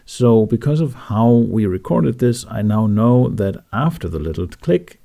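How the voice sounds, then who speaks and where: A man narrating a how-to tutorial, explaining things step by step with deliberate phrasing and pauses. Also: on the low side, lively intonation, vocal fry, mellow. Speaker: tutorial host. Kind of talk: instructional narration for a how-to video.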